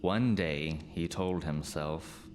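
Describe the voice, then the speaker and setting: Deep, slow-paced male storyteller. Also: a little mellow. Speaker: narrator. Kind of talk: scripted spooky storytelling for radio play. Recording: faint background noise.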